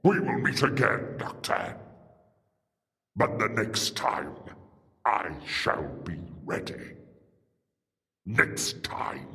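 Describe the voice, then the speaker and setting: A man's voice: mid-range voice, vocal fry, a bit bright, full character voice. A man playing a vengeful, arrogant alien war lord in an audio drama, with grandiose, threatening delivery. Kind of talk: audio drama villain acting. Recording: long hall-like reverb.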